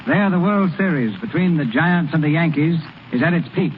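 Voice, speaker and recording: A male radio host with a fairly high voice delivers formal, scripted announcements in 1930s style. The recording is very narrow and tinny, with faint hiss. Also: lively intonation, moderate pace.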